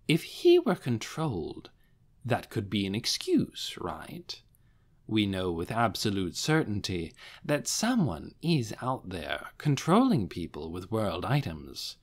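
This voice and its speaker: A suave demon strategist, put on by a male narrator in a fantasy audiobook. He explains things patiently and precisely, like a deferential professor. His intonation is varied and deliberate. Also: lowish voice, huge pitch swings, full character voice.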